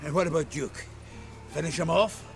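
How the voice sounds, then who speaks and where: An actor playing a gruff, jovial, foul-mouthed dwarf in a video game, talking bluntly and heartily. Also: male voice, fairly high pitch, fairly even intonation, moderate pace, soft background music. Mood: pleased, hearty. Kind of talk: video game character acting.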